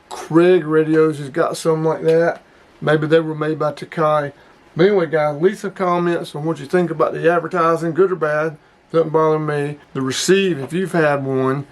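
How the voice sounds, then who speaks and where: A middle-aged man talks in a casual vlog style as a hobbyist showing off gear. His voice sits a little higher than most men's. He comes across as folksy and plain-spoken. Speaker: hobbyist video host. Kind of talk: casual product review vlog.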